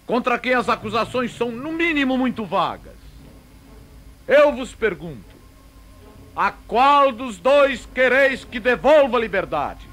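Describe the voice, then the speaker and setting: An actor playing an imperious Roman governor who wavers before a mob, declaiming in deliberate, oratorical phrases in a religious drama. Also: male voice, sits high, a bit bright, full character voice, rough recording. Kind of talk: theatrical religious audio drama.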